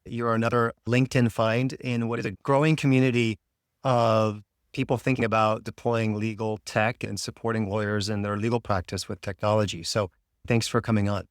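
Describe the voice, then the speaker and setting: Podcast host guy, lowish voice, reads his intro then chats easy, dry mic. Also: moderate pace, smooth, dry recording. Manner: scripted intro then relaxed questioning. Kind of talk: podcast interview.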